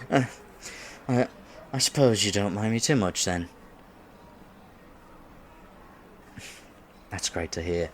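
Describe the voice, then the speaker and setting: A man acting in a roleplay audio drama as an awkward, geeky man on a blind date, stammering, flustered and self-deprecating. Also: on the low side. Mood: surprised, relieved.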